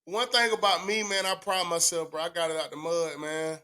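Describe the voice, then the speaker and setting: Young male co-host, highish voice, slangy and laid-back. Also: steady tone, fairly quick, pushed and bright. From southern United States. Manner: slangy, laid-back, says "man" a lot. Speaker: podcast co-host, retired football player. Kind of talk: casual chat.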